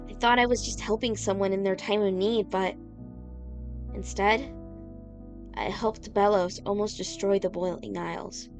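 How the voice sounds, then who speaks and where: An earnest cartoon teenage girl, acted by a woman, with a medium-pitched voice over background music. She sounds sincere and burdened by guilt, dramatic and a little defensive. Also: close to an everyday voice. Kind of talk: comic dub acting.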